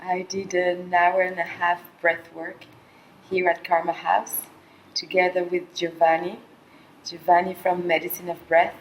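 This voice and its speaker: Soft-spoken, low-voiced middle-aged woman. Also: level tone, slight background noise, rough recording. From Colombia. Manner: soft-spoken, halting, with frequent fillers and pauses. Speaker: vlogger sharing a personal experience. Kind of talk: reflective personal monologue.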